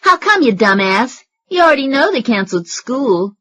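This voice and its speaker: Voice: high voice, big pitch swings, moderate pace, a bit bright, pitched above the performer's own voice, heavily acted. A narrator voicing a cocky young boy who teases his friend over the phone with crude schoolyard insults. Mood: taunting.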